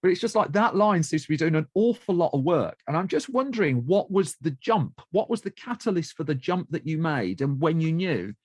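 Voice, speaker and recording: A man's voice: on the high side, sing-song, a little fast, few pauses, a little mellow, no room sound, clean studio sound. A man hosting a podcast interview, eager and given to stammering restarts as he asks questions. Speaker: podcast host. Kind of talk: casual interview chat.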